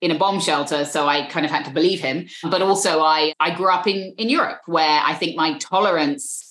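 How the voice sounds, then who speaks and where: A British woman speaks earnestly in an interview, often repeating a word as she gathers her thoughts. Her voice sits fairly low, and the room gives it a clear echo. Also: fairly quick, a bit bright. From Britain.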